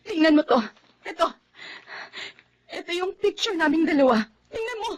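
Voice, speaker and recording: An actress playing a bitter, emotional young jilted bride in a Tagalog radio drama, with an accusing manner. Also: female voice, very high voice, expressive, moderate pace. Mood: hurt, bitter. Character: bitter, tearful young woman jilted before her wedding.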